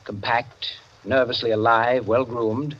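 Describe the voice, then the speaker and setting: Urbane storyteller played by a man, lower-middle register, wry literary radio narration, old muffled sound, music under. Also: steady tone, unhurried, a little hiss or hum, lightly acted. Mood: measured. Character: urbane male narrator of a society mystery tale. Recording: dull, band-limited old radio recording. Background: prominent music.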